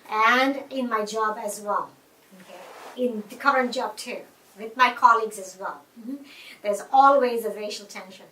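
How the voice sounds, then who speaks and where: A woman's voice: fairly high pitch, normal speed, bright, forceful tone. A middle-aged woman from India with an Indian accent, speaking in an interview in a reflective, earnest way, with many fillers and restarts. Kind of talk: conversational interview. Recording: echoey room, mic at a distance.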